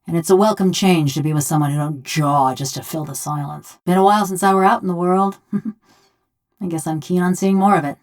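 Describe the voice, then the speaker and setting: Low-voiced, folksy, sardonic golem woman, acted out by a woman. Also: fairly even intonation, normal speed, lightly acted. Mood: wry fondness. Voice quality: low-pitched, folksy rural speech. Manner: wry, plain-spoken, dryly mocking. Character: rustic, sardonic, vengeful female killer's soul in a golem body. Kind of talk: video game character dialogue.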